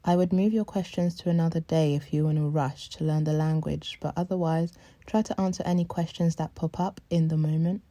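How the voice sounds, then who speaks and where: Calm, mellow woman's voice-over. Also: sits low, brisk.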